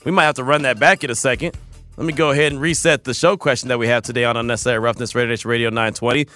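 Male sports radio host, rapid and bright, upbeat, recorded dry in the studio. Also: medium pitch, no echo, faint music behind. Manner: energetic, upbeat, repeats phrases. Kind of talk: sports talk radio hosting. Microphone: studio mic.

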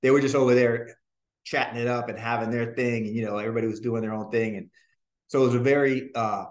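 A man in his forties from New Jersey, telling stories from his life in a relaxed, rambling interview way with many fillers. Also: mid-range voice, quick pace. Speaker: guest, singer and dancer. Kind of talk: casual interview storytelling. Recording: roomy echo.

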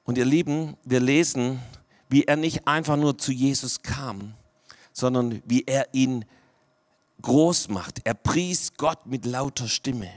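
A man's voice: mid-range voice, takes it slowly. A man from Swabia, preaching a sermon to his congregation, often checking in with "ja?" and dropping to a near-whisper for emphasis. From Swabia, Germany. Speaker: pastor.

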